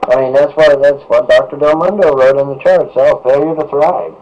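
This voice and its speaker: A man addresses his livestream audience, firing off the same rhetorical questions again and again in a sarcastic tone. His voice sits in an ordinary middle range. The sound is dull and grainy, as if captured off a low-quality stream. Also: normal speed, darkish tone. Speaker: father speaking on his own web show. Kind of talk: livestream rant to viewers.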